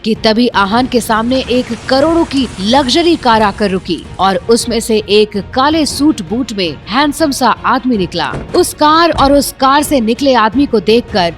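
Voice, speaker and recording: A woman's voice: a little high, expressive, unhurried, few pauses, soft background music. A woman narrating a scripted audio drama in a dramatic storytelling manner.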